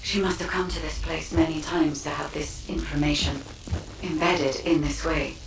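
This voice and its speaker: An actress playing a solemn, mysterious female guide in a video game, speaking in a measured, formal way. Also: low voice, steady tone, gravelly. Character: solemn, mysterious female guide leading a hero through memories. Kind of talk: scripted video game voice acting. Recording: echoey, distant, music behind.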